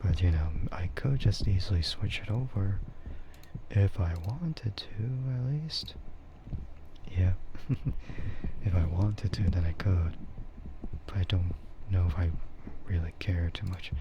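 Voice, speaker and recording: Slow, low, hushed man streaming ASMR. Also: lo-fi sound, background noise. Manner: hushed, often slipping into whisper; rambling, hesitant. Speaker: VTuber streamer. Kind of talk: soft ASMR casual chat.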